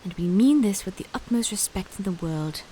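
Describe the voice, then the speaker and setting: Woman playing stern female knight, medium pitch, formal, dutiful, fantasy audio drama, background hiss. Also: lively intonation, a little fast, dark tone, lightly acted. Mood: firm. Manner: firm, formal.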